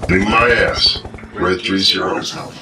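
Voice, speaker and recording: A cocky male pursuit pilot in a motion comic, brisk and swaggering, as a man plays him. His voice is fairly low. It sits far back under loud vehicle noise. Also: fairly even intonation. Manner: brisk radio talk, swaggering. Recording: distant, over loud noise.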